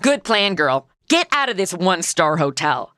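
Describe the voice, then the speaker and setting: An actress playing a brash, sassy modern time traveller in a comedy audio drama, snarky and breezy, full of quips. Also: female voice, lowish voice, very animated, normal speed, bright, forceful tone, no room sound, clean studio sound. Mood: breezy, teasing. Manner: snarky, breezy, pop-culture quipping.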